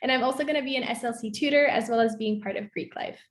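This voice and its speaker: High-voiced young woman in echoey room. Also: fast talker. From Belgium. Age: young adult. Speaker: student panelist. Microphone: video call.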